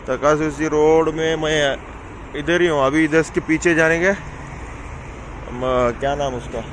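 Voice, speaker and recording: Guy from india vlogging on his phone in the car, chatty, bit of traffic noise behind. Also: a little high. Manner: chatty, talks to viewers while going about his day. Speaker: house driver vlogger. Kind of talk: casual vlog narration while driving. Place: in a car and around a city. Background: faint traffic and street noise.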